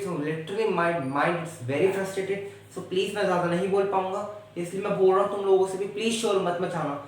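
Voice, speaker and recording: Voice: mid-range voice, far from the mic, slight background noise, lightly acted. A performer playing a tired male schoolteacher who rants in exasperated Hindi-English at his class. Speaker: teacher in a classroom skit. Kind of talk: comedy sketch acting. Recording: echoey room, voice off-mic.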